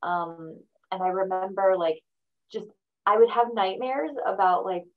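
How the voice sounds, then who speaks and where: A woman's voice: mid-range voice, measured pace, narrow, tinny audio. A young adult woman, Mandarin her first language, talking thoughtfully in a casual interview and laughing often. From born in China, raised in the United States. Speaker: guest.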